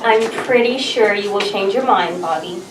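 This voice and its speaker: A woman's voice: medium pitch, moderate pace, noisy background, natural voice. A woman playing an imperious, menacing alien ruler at a sci-fi table read. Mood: coolly commanding. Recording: echoey room, distant mic, background voices.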